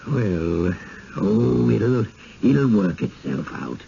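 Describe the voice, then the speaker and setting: Pompous, creaky small-town booster. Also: male voice, on the low side, sing-song, very slow, dark tone, full character voice. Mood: patronizing, coaxing. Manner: pompous, flowery, patronizing. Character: effusive, insistent small-town village booster, genial and smothering. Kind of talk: vintage radio drama acting. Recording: dull, faintly noisy 1970s radio broadcast.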